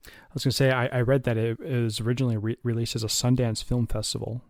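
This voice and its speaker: A man co-hosting a movie podcast, speaking in a halting, candid way with many restarts. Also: mid-range voice, flat delivery, no echo, clean studio sound. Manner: hesitant, honest, halting restarts. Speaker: podcast co-host. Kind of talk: casual chat.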